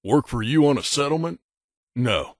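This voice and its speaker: A man's voice: mid-range voice, lively intonation, moderate pace, no room sound, studio quality, lightly acted. A man playing a middle-aged mercenary bodyguard in a video game, a blunt and guarded loner who talks in a terse, matter-of-fact, aloof way. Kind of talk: video game character dialogue.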